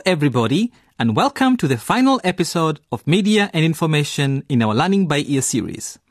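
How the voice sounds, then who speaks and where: Animated, husky male radio narrator. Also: on the high side, no echo, studio quality. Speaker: narrator and series presenter.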